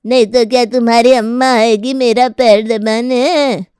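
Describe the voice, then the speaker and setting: A woman voicing a loud, bossy old mother-in-law in a story, nagging and complaining with drawn-out calls. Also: a little high, fairly even intonation, smooth, no echo, clean studio sound, close to an everyday voice. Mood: demanding.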